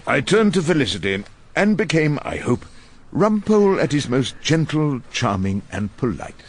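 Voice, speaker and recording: A man's voice: on the high side, sing-song, moderate pace. An actor playing an irascible, witty, ageing male barrister in a radio drama, courtly and ironic as he argues and narrates. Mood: wry narration. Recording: noticeable background noise and ambience.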